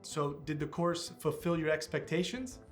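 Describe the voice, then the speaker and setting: A man with a fairly high voice hosts an interview, reading his questions in an upbeat, friendly manner. Music plays clearly beneath his voice throughout. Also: animated, moderate pace. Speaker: interviewer for the academy. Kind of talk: scripted interview host.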